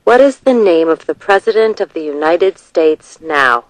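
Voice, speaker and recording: A narrator reading scripted test questions and short answers in a measured, deliberate way. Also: mid-range voice, lively intonation, no echo, rough recording.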